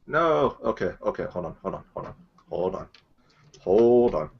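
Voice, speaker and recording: A man's voice: fairly low pitch, very animated, normal speed, vocal fry. A man chatting casually as a guest on a stream, a little flustered and repeating himself. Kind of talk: casual chat. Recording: dull voice call audio.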